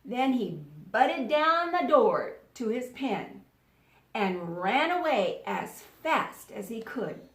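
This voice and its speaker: A woman teaching young children in a read-aloud lesson, speaking deliberately and instructively, in the manner of an elementary school teacher. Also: on the high side, sing-song. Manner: deliberate, instructional, repeats words for pupils to echo. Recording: roomy, echoing sound.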